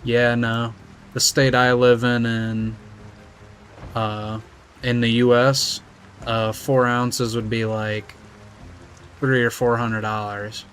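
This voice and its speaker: A man's voice: medium pitch, level tone, slow pace, pauses often, a bit bright. Laid-back young guy chatting over music. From United States. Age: young adult. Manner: laid-back, rambling, slangy. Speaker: streamer. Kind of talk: casual chat with viewers while gaming. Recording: music playing behind.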